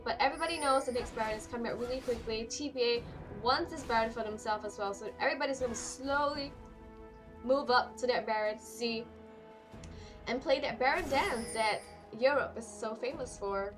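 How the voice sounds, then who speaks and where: A hoarse woman calls a competitive video game on her own, her voice sitting a bit high and worn thin. She rattles off the action in quick play-by-play, and clear music plays behind her. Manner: rapid play-by-play that jumps higher in fights. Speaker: esports caster. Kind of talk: solo live game commentary.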